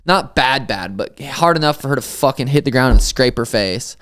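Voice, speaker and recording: Podcast co-host: young guy, easy swearing storyteller, clean smooth voice, mid pitch. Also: expressive, normal speed, a bit bright. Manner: loose, swearing storyteller, laughs often. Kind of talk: casual podcast chat.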